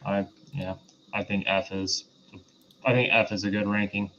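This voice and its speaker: A man's voice: fairly low pitch, level tone, moderate pace, sharp tone, slight background noise. A man chatting casually as a panelist on a review show, on a slightly muffled recording.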